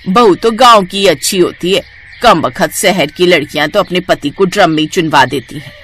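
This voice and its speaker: A woman's voice: on the low side, moderate pace, a bit bright, a little hiss or hum, lightly acted. A woman voicing an anxious, gossipy middle-aged mother-in-law with a dramatic, grumbling manner. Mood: scheming, chatty.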